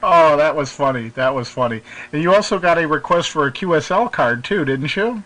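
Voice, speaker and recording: An American man hosting a casual hobby radio chat, speaking in a hesitant, halting way. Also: a little high, expressive, a bit bright, low-quality recording. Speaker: radio show host.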